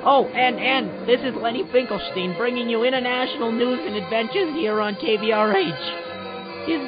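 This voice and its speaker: A man playing a hapless, nervous field news reporter who stammers in an animated way. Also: very high voice, moderate pace. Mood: nervous, flustered. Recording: dull lo-fi radio sound, music behind. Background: music.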